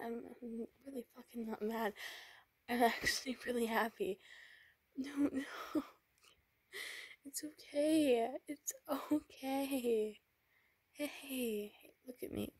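A woman's voice: high voice, no echo, voice pushed up, full character voice. Woman playing a stammering, whispery young boyfriend. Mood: tender reassuring. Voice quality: soft, breathy whisper with nervous stammers. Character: anxious, tender young male boyfriend. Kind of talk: whispered romance roleplay.

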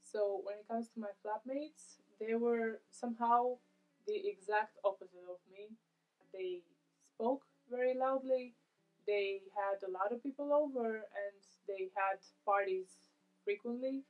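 A young woman from Romania, speaking in a measured, reflective way as a student interviewee in a documentary. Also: fairly high pitch. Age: young adult. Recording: tinny phone-like audio.

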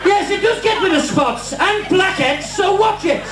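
High-pitched bossy pantomime dame acted on stage. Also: expressive, moderate pace. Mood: bossy banter. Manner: declamatory, mock-scolding. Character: bossy, doting pantomime dame mother, loud and bantering. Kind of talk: broad comic pantomime stage acting with ad-libs. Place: indoor small stage before an audience. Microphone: distant camcorder. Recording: echoey stage hall, distant camcorder, audience, music.

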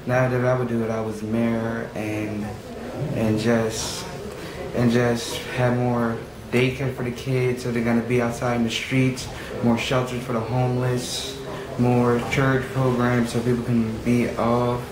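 A man's voice: mid-range voice, little pitch movement, measured pace, a crowd in the background. A young man speaking earnestly to camera about his community, often repeating himself. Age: young adult. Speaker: youth program member. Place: small room against a plain wall. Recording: echoey room with background noise.

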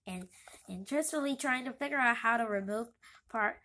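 A female reader with a very high, bright voice reads a comic book aloud. The delivery is homespun and halting, with stumbles over words.

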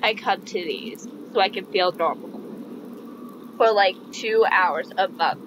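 A woman's voice: mid-range voice, measured pace, background noise. A woman hosting a gaming video, reading the dialogue aloud.

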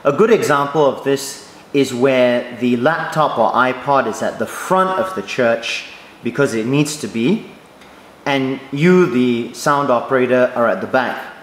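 A man giving a step-by-step tutorial on church sound equipment, explaining clearly and methodically. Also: mid-range voice, unhurried, fairly bright tone. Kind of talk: instructional voice-over. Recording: echoey room, faint noise.

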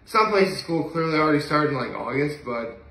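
A young man with a bright, fairly high-pitched voice talks casually to the camera. He rambles and hesitates, letting thoughts trail off. The recording is echoey, made in a room at home a few feet from the phone. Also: animated, brisk. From upstate New York. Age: young adult. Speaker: daily vlogger. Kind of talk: casual solo chat to camera. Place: indoor living room at home. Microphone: phone camera a few feet away.

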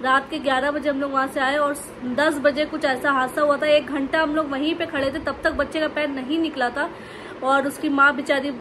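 A young woman vlogging to her phone at home, telling a story in a casual, animated way. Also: sits high, brisk, background noise. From India. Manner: animated storytelling to the camera, with lively gestures. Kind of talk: casual chatty vlog narration. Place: bedroom at home, indoors. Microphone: phone held close.

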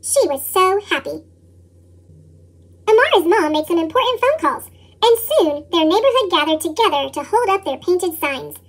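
A woman reading a children's storybook in scripted narration, expressively, through a pitched-up chipmunk voice effect. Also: lively intonation, normal speed. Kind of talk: read-aloud scripted narration.

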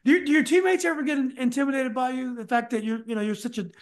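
A middle-aged man hosts a casual podcast interview over a video call from a book-lined home study. His voice sits high for a man, and he often stops and restarts his questions. He speaks into a desk mic. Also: fairly even intonation, quick pace. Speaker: podcast host.

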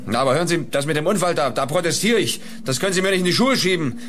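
An actor playing a defensive, indignant suspect under questioning in a German radio crime play. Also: male voice, fairly high pitch, lively intonation, racing, a bit bright, a little hiss or hum. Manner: indignant, agitated.

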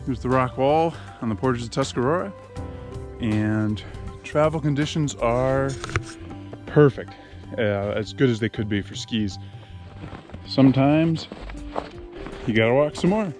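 A young man narrates his winter outing into a close action camera, upbeat and chatty. His voice sits at an ordinary middle pitch, and he sounds relaxed and easygoing as he talks. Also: measured pace, pauses often, faint music behind. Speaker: outfitter vlogger. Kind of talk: casual outdoor vlog. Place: ice fishing shelter, then outdoors on a snowy trail. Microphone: action camera held close or body-mounted.

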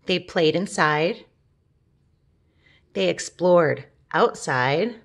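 Slow, low-pitched woman reading to children. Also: lively intonation, gravelly. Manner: gentle, talks to children with questions. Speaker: storybook reader. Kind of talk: read-aloud of a children's picture book with asides to young listeners.

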